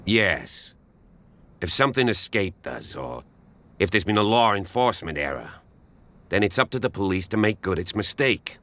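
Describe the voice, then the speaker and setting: An actor playing a gruff, guarded small-town policeman in a video game, speaking in a measured, folksy, wary way. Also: fairly low pitch, very animated, fairly bright tone. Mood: defensive. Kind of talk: scripted game dialogue.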